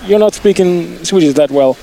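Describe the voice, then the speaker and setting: High, mellow-toned male street host. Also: normal speed, low-quality recording. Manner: breezy, switches between Swedish and English, many all rights. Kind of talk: casual street interview. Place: outdoors on a sidewalk outside their office.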